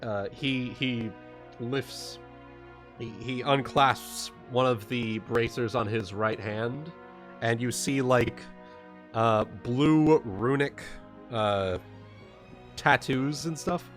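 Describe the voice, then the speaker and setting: Slow, halting male game master. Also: medium pitch, faint music behind. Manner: halting, searching for words. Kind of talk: improvised tabletop game narration.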